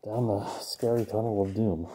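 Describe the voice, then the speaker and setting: A man exploring a moldy abandoned building, talking casually in hushed, awed remarks. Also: on the low side, normal speed, breathy edge. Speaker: urban explorer. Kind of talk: casual on-location commentary.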